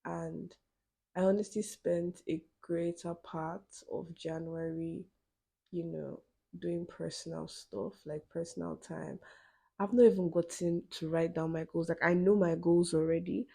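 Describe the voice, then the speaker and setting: A young woman, hosting her own podcast in a relaxed solo chat, full of "you know" fillers and quick laughs. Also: on the low side, vocal fry, breathy edge. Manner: chatty, many "you know" fillers, laughs between phrases. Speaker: podcast host.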